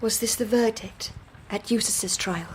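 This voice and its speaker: A woman's voice: mid-range voice, a little slow, a little hiss or hum, close to an everyday voice, poor audio. A woman playing an earnest, determined young Victorian wife in a radio drama, speaking in an articulate, intense way. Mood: anxious. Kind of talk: period radio drama acting.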